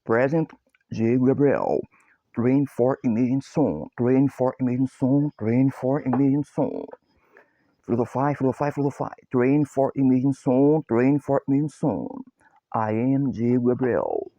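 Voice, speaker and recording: A man's voice: mid-range voice, expressive, moderate pace, dry recording, lo-fi sound. A Brazilian man with a Brazilian Portuguese accent, a copywriter introducing himself and chanting the same short phrases over and over in broken English. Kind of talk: repetitive chanted self-introduction.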